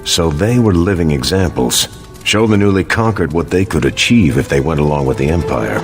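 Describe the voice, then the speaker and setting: An actor playing an earnest, thoughtful veteran soldier superhero in a video game, speaking in measured declarations. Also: male voice, very deep voice, animated, music in the background.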